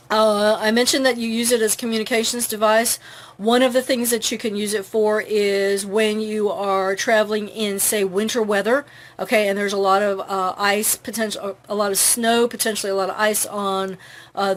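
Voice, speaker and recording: A middle-aged woman hosting an informal how-to talk, chatty, with many fillers. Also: medium pitch. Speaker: host.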